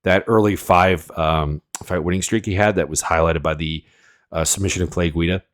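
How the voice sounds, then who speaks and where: A man's voice: low-pitched, fairly even intonation, normal speed. A man with a cold, sniffling as he rambles through casual, opinionated fight predictions full of fillers. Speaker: MMA analyst host.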